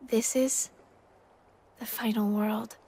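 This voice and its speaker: A woman playing a soft, wistful young heroine in a video game, with a breathy voice. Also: on the high side, fairly even intonation, takes it slowly. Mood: wistful, hushed. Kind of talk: scripted video game cutscene acting.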